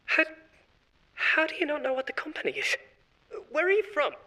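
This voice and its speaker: A chatty, eerie corporate executive. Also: male voice, extremely high pitch, very animated, normal speed, pushed and bright, heavily acted, rough recording. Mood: uneasy chatty. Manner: rambling, confiding, oddly cheerful. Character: eerie corporate marketing head, adult man, unsettling. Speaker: voice actor. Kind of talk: horror audio drama monologue.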